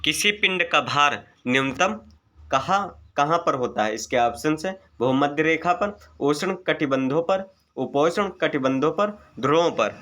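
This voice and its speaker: A man's voice: fairly high pitch, animated, unhurried, pushed and bright. A man teaching an exam-prep lesson, methodically reading out multiple-choice questions, their options and the right answers. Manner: methodical, formulaic delivery.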